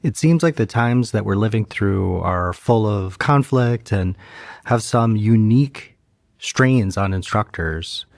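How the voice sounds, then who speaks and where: A man podcast host asking an interview guest a calm, reflective question. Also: on the low side, slow pace, vocal fry.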